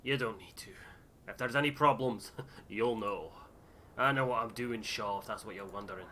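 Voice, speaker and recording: A man voicing a gruff, overworked ship's engineer in a game, curt and brusque. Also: on the low side, normal speed, bright, forceful tone, slight background noise. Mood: gruff, impatient. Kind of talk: voiced game dialogue.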